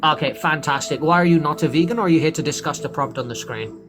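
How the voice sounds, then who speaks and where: A man's voice: on the high side, fairly bright tone, slight background noise. A man hosting a livestream with callers, scolding the chat and defending himself. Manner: scolding, defensive, quick to complain. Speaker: livestream host.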